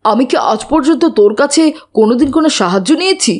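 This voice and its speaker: A woman voicing a sensible, teasing schoolboy in a children's cartoon, with a husky voice and chiding manner. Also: high-pitched, expressive, fast, darkish tone. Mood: amused, mocking. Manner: chiding, mocking. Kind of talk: scripted cartoon dubbing.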